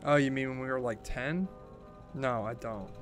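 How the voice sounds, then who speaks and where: A man's voice: medium pitch, moderate pace, faint music behind, natural voice. A man voicing a bitter, exasperated young woman from a game, with a blunt, sarcastic, scolding manner.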